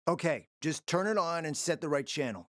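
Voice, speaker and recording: A man's voice: on the high side, lively intonation, moderate pace, no room sound, lightly acted. Casting: male actor for a calculating city schemer in a post-apocalyptic game, sardonic and confident, very varied intonation, close dry sound. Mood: urgent instructing. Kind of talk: dramatic video game dialogue.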